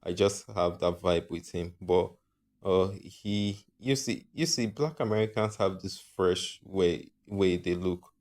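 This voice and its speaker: A man's voice: low voice, slow pace, darkish tone. A man from Africa hosting his own channel, giving casual opinions in a rambling, hesitant way and often correcting himself. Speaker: channel host.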